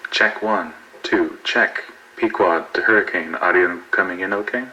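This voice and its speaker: Guy doing a deep voiced spaceship pilot, crisp bright edge, calm and by the book. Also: a little slow, full character voice, poor audio. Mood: businesslike. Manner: procedural, matter-of-fact. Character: dutiful, calm male pilot commander of a spacecraft. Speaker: pilot and commander. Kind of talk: analog horror space audio drama.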